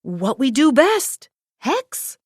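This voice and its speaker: Wicked witch mom, played by a woman: mischievous, gloating, high swooping storybook villain. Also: sing-song, very slow, no room sound, studio quality, exaggerated voice. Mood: gleeful. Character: gleefully wicked adult witch mother.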